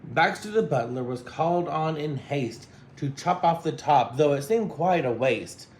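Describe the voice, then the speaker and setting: A man with a fairly high voice reads a picture book aloud like a teacher to students. His telling is rhythmic and expressive, with asides to listeners. There is faint noise in the background. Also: a little slow, echoey room.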